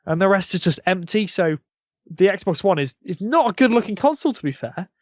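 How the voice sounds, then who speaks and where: Male podcast panelist, fairly high voice, very dry recording, blunt and opinionated casual talk. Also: expressive, a little fast, no echo. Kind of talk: casual gaming podcast chat.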